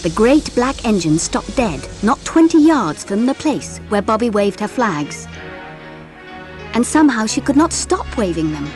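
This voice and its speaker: A woman playing a gentle English mother, telling the story in an expressive audiobook narration. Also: fairly high pitch, a little slow, soft background music. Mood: dramatic.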